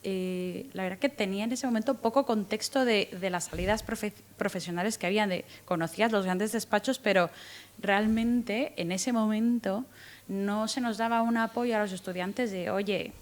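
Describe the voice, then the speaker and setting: A woman in her thirties from Guatemala, with a faint Guatemalan accent softened by Spanish from Spain, answering interview questions as a guest lawyer with frequent restarts and fillers. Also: mid-range voice, moderate pace. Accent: light Guatemalan, mostly Spanish from Spain. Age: 30s. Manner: thoughtful, many restarts and fillers, lively rises when retelling.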